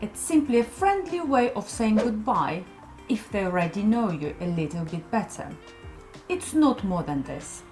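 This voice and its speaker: A woman's voice: mid-range voice, very animated, moderate pace, music in the background. A woman with a Russian accent, narrating a scripted explainer about English customs in a friendly, explanatory way. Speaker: co-host teaching about English culture.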